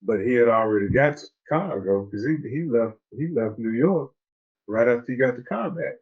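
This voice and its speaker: A man's voice: medium pitch, lively intonation, moderate pace, slightly rough, lo-fi sound. A man co-hosting a casual chat about a TV drama, laid-back, often restarting his sentences. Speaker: co-host of a TV discussion show. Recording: faint music behind.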